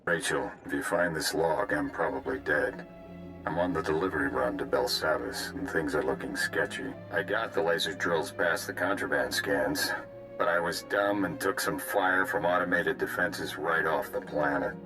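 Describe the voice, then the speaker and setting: Very deep-voiced rueful smuggler. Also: male voice, normal speed, over music, poor audio. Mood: grim, rueful. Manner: grim, confiding, plain-spoken. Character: doomed male smuggler pilot, rueful and tough. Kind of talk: video game acting, recorded log.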